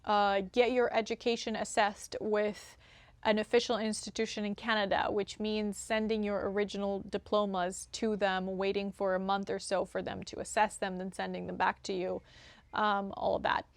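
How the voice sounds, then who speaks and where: A woman's voice: mid-range voice, little pitch movement, moderate pace, no room sound. A young woman from Moldova with a Romanian accent, chatting to camera as a vlogger, with many "you know" and "right" fillers. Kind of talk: casual talk to camera.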